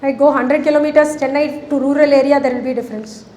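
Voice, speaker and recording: High-voiced Indian woman addressing a hall. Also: fairly even intonation, fast, mellow. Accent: Indian English. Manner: conversational, asks the audience questions. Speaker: speaker leading a workplace session. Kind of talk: interactive talk to an audience.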